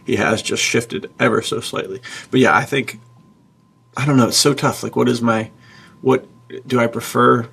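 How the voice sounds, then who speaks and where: A man chatting informally as a podcast co-host, thinking aloud in a husky, gravelly voice. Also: mid-range voice, fairly even intonation, moderate pace, a bit bright. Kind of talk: casual chat.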